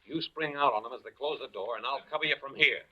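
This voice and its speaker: A man's voice: medium pitch, quick pace, natural voice. An American man playing a desperate, sardonic crooked ex-agent threatening his captives in a 1940s radio thriller. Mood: scheming. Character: desperate, menacing male crooked ex-agent holding captives at gunpoint. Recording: muffled vintage 1940s radio recording.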